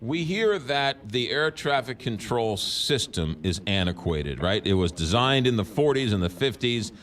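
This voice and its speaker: A man's voice: mid-range voice, sing-song, unhurried, fairly bright tone. American male radio host asking questions. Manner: plain-spoken, questioning. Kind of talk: conversational radio interviewing.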